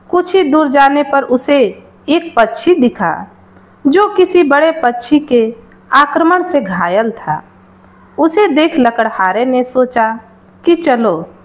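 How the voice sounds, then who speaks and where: Female storyteller for moral tales, high voice, very lively intonation, slow measured delivery. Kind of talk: scripted moral story narration in Hindi.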